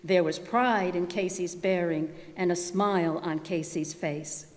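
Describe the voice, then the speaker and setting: A woman's voice: fairly low pitch, fairly even intonation, unhurried, darkish tone. A woman reciting a narrative poem as a readers theater performer. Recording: reverberant hall.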